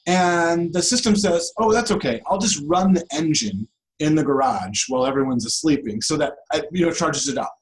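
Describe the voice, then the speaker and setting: A man's voice: a little high, expressive, normal speed, low-quality recording. A man speaking as an expert guest in an interview, explaining things informally and checking in with rhetorical questions. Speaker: interview guest, law professor.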